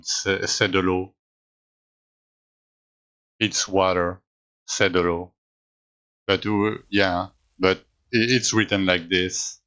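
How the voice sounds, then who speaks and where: French male streamer, low bright voice, unhurried and halting, casual chat. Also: dull audio. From France. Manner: halting, with frequent restarts.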